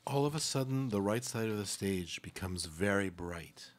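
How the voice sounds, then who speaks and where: A man's voice: lowish voice, lively intonation, normal speed. A man reading stage directions as scripted narration for a radio play.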